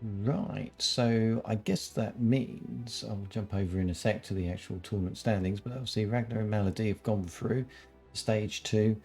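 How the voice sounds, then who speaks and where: A man casting a live strategy game tournament, explaining the format with many pauses and ums. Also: fairly low pitch, steady tone, gravelly, a little mellow, soft background music. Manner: halting, many ums, laughs often. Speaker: esports caster.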